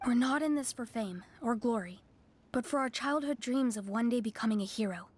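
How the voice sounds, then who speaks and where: A woman's voice: on the high side, a little fast, a little mellow, slightly rough. A woman playing an earnest, modest young warrior in a video game, speaking thoughtfully. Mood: wistful, sincere.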